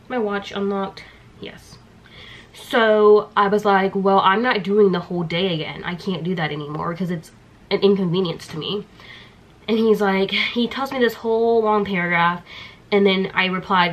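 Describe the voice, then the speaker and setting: Young woman, casual vlog chat, animated, quick asides, small dorm room, close camera. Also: medium pitch. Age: young adult. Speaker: vlogger. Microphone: handheld camera close up.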